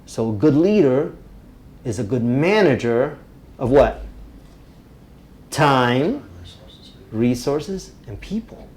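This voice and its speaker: A man from Romania, teaching a live public speaking workshop, energetic and often checking in with the group. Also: mid-range voice, slow pace, long pauses, slight background noise. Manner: energetic, checks in with "right?" constantly.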